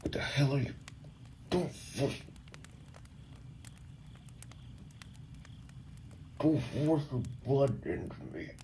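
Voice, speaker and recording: A man voicing a wounded, weary, distrustful vampire in an ASMR roleplay, speaking hesitantly in formal, guarded wording with a gravelly, sometimes breathy voice. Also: lively intonation, unhurried, warm tone, a little hiss or hum, poor audio. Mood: panicked, resisting. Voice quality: very deep, gravelly, breathy at times. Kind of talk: ASMR roleplay audio acting.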